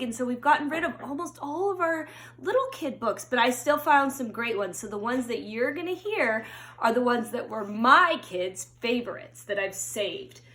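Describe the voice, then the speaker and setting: High, lively storytelling woman in echoey room. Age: middle-aged. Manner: slow, deliberate, singsong storytelling addressed to children. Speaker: teacher reading a picture book to young children. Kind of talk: expressive children's storybook read-aloud. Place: indoors upstairs at home. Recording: roomy home recording with echo.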